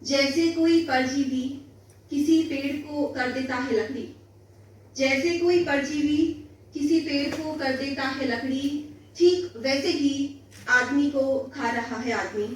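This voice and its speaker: Female poet at a recital, solemn and slow, recorded from a distance with heavy echo. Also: high voice. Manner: solemn, emphatic repetition of lines. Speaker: poet reciting own poems. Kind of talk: Hindi poetry recitation before listeners. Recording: roomy echo, distant mic, faint noise.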